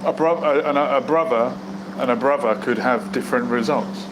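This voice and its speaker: A man's voice: mid-range voice, moderate pace. A man in a casual discussion, asking questions and doubting what he hears. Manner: skeptical, questioning. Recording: audible background noise.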